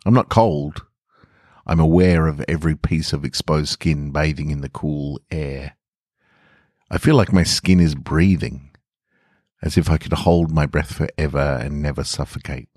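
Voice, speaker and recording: A man hosting a podcast, reading a short story slowly and reflectively and signing off casually. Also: extremely low pitch, fairly even intonation, dry recording, studio quality. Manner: slow, quiet, reflective reading. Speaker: podcast host and story reader.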